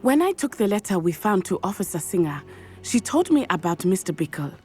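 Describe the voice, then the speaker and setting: An actress playing an earnest, composed and reassuring young companion in a video game. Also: female voice, on the low side, sing-song, a little fast, warm tone, breathy edge, faint music behind. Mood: regretful, serious. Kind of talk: video game character acting.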